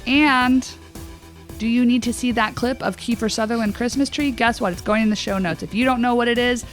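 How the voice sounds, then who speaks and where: A woman's voice: fairly high pitch, fairly even intonation, fairly quick, soft background music. An American woman co-hosting a podcast, talking casually in a chatty, opinionated way with many a "like". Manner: chatty, opinionated, uses "like" often. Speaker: podcast co-host.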